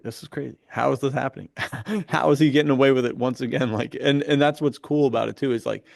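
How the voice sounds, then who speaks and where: A man's voice: medium pitch, gravelly, dry recording, clean studio sound. A man chatting casually on a podcast about books, enthusiastic and slangy, peppering his talk with "dude" and "like". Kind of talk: casual podcast book chat.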